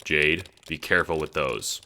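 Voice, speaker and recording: Audio drama cool kid gamer, male actor: slangy, profane, deadpan, rock-bottom pitch, forceful tone. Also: a little slow, heavily acted. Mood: urgent sarcasm. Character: cool-guy young gamer, sweary, sardonic, easily rattled.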